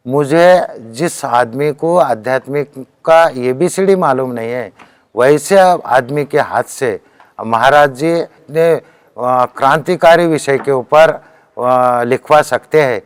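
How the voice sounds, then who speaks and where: Male spiritual teacher, Deccan Hindi accent, earnest discourse delivery, mid-pitched resonant voice in a dry recording. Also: smooth, no echo.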